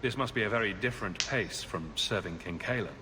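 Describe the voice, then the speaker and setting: Man playing a composed game hero, somewhat low voice, measured, wry, echoey capture. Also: background noise, lightly acted. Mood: wry, familiar. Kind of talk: video game dialogue.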